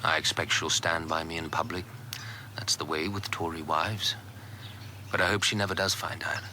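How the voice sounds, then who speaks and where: An actor playing a stubborn, confiding married politician, clipped and restrained, in a radio drama. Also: male voice, sits low, little pitch movement, moderate pace, sharp tone, slight background noise. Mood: rueful. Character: married male politician, confiding, stubborn, guilty yet self-assured. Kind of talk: naturalistic radio drama acting.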